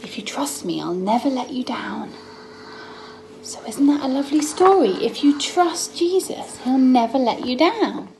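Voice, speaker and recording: A woman's voice: sits high, vocal fry, low-quality recording. A young woman hosts a children's story time in a lightly raised register. She takes her time, stretching words expressively for very young listeners. The homemade recording has some noise behind her. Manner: expressive, drawn-out storytelling aimed at small children. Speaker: children's Bible story host. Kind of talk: reading a picture-book story aloud to young children.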